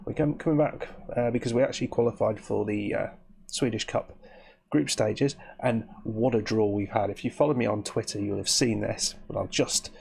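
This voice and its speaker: A man, croaky and sniffly with hay fever, giving rambling, chatty commentary on his game as host. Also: lowish voice, steady tone, normal speed, a little mellow. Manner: rambling, chatty, with frequent restarts. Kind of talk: casual gameplay commentary.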